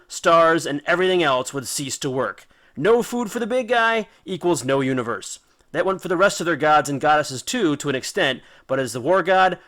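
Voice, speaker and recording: Male storyteller host, slightly high voice, colloquial and dramatic scripted narration with punchy emphasis. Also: a bit bright. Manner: emphatic, informal, dramatic phrasing. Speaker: host and storyteller of a mythology series.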